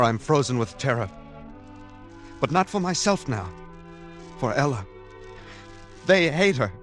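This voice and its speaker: A man's voice: mid-range voice, a bit bright, close to an everyday voice. An actor playing an earnest, anxious, lovesick man, intense and melodramatic in old-time radio drama style.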